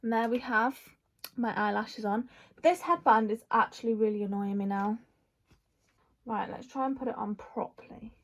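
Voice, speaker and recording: A woman's voice: fairly high pitch, normal speed. A woman, chatting casually through a makeup Q&A, rambling with lots of fillers. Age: 20s. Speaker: vlogger.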